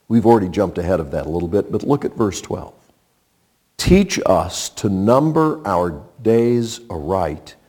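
A man in his sixties preaching a sermon in a conversational, folksy way, with rhetorical questions and pauses. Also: mid-range voice, a little mellow. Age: 60s. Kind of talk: sermon with scripture reading and personal anecdotes.

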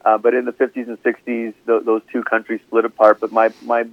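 A man's voice: mid-range voice, completely flat delivery, brisk, creaky, no echo. Earnest man over a tinny phone line. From Malaysia. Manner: earnest, preacherly, with frequent restarts. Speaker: guest pastor. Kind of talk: conversational interview.

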